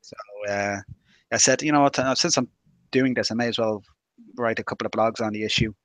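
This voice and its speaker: A man's voice: medium pitch, fairly quick, no echo, rough recording. A man talking casually and anecdotally as a podcast co-host.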